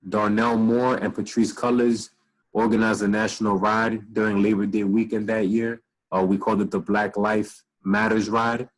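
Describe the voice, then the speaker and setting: Young male instructor on a laptop call, low husky voice, reads then riffs in casual slang, tired and a bit slurred. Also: low-quality recording. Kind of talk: casual online lecture reading from web pages. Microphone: laptop video call.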